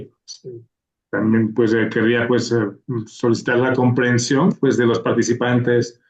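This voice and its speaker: A man hosting an award ceremony, halting and full of fillers and restarts. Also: medium pitch, echoey room, muffled recording. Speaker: organizer and host of a literary award ceremony. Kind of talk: hesitant ceremonial remarks, switching to Catalan.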